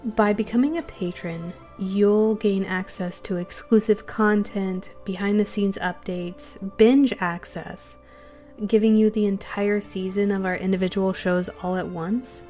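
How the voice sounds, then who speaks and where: Woman, medium pitch, scripted podcast announcement, cast member addressing listeners, light music bed. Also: steady tone, measured pace, mellow. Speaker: cast member giving a listener thank-you message.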